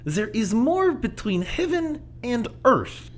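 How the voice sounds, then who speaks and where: A man's voice: extremely high pitch, big pitch swings, slow, slight background noise, full character voice. A man playing a genial little old man who loves the paranormal, speaking in an erudite, musing, theatrical way in an audio drama. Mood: eager, playful. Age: older.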